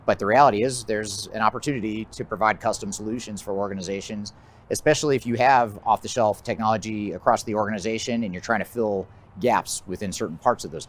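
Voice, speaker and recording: An American man giving a measured, methodical explanatory presentation as a business consultant, his phrases often trailing off at the end. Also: on the low side. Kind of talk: explanatory presentation of a trends list.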